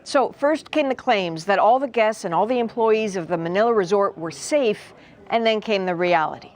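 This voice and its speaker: A male correspondent narrating a scripted news report in a steady voice. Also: high voice, normal speed.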